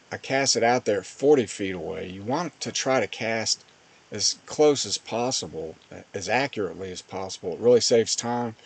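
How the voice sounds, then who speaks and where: Low-pitched man narrating fishing footage. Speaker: fishing channel host. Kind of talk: casual voice-over commentary on fishing footage.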